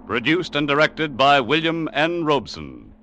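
A man's voice: fairly high pitch, very animated, moderate pace. A man announcing a radio show in an emphatic, dramatic 1940s style. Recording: old radio broadcast under loud music.

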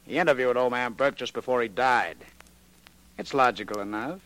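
An actor playing a scheming crook in a 1940s radio crime drama, with sly, emphatic delivery. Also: male voice, mid-range voice, normal speed, fairly bright tone, lightly acted, rough recording. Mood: conspiratorial. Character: scheming male crook coaxing an accomplice.

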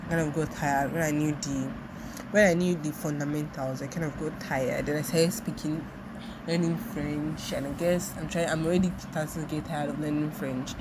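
A woman's voice: sits low, noisy background. A young Nigerian woman with a Nigerian accent, rambling reflectively on her solo podcast and often repeating herself. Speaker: podcast host. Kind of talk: casual solo monologue.

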